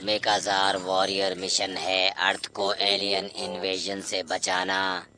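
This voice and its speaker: A man voicing a menacing giant warrior robot in a cartoon dub, issuing commanding threats. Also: sits low. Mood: cold menace. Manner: slow, monotone, commanding threats. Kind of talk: dubbed cartoon acting. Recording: music behind the voice.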